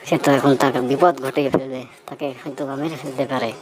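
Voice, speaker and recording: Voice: mid-range voice, fairly even intonation, fairly quick, crowd noise, natural voice. A storyteller voicing a worried village mother who scolds, in a cartoon ghost story.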